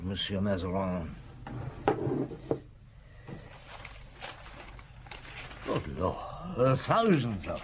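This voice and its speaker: An actor playing a brusque, musing police chief inspector in a radio drama. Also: male voice, on the low side. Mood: curious, brisk. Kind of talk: old radio drama acting and narration. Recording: old radio broadcast, faint noise.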